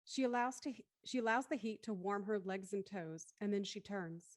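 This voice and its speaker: A woman's voice: medium pitch, normal speed, dry recording, studio quality, lightly acted. A woman reading scripted stage directions as narrator of an audio drama.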